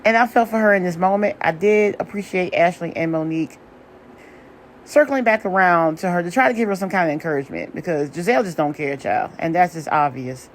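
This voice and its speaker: Give me a hoarse, opinionated woman, chatting through a reality TV recap. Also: on the low side, fairly quick, a little hiss or hum. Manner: chatty, opinionated, drawling asides. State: hoarse from singing. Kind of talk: casual episode recap commentary.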